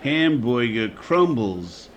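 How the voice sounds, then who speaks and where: A man plays a shady racetrack tipster in fifties radio comedy. He is deadpan and confidential, doling out short phrases extremely slowly. Loud noise and clicks run behind him. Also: mid-range voice. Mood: deadpan, sly. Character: shady, laconic male racetrack tout. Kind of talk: 1950s radio comedy acting. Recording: very noisy audio with clicks.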